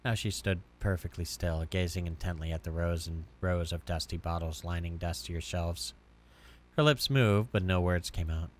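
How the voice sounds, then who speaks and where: Deep-voiced man reading a game story. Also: normal speed, a little hiss or hum. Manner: stumbles and rereads lines. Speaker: let's play creator reading game dialogue aloud. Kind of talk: reading visual novel text aloud with commentary. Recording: music behind the voice. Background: game music with guitar.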